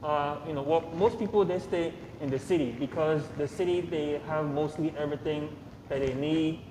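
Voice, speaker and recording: A man in his thirties who grew up in Nigeria, answering interview questions in a soft, hesitant way with many fillers. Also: fairly high pitch, fairly even intonation, moderate pace. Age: 30s. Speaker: podcast guest. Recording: echoey room with long reverb, clear ambient noise.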